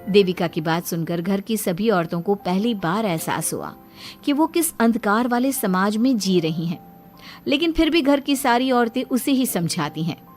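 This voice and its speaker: A woman reading scripted narration for a moral story in a dramatic, expressive manner. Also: medium pitch, moderate pace, soft background music.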